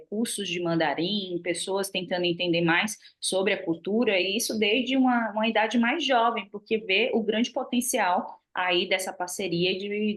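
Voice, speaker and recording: A Brazilian woman with a Brazilian Portuguese accent, talking about business as a webinar guest over a video call, chatty and full of fillers. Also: mid-range voice. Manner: chatty, frequent fillers and restarts. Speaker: guest speaker on trade. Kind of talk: webinar talk. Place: lives in China.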